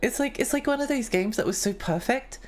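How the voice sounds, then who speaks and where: A woman's voice: on the high side, lively intonation, a little fast, soft background music. A young woman streaming and chatting with her viewers in a rambling, giggly way, her voice a little worn. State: losing her voice. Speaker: livestreamer reading chat. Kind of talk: casual chat with viewers.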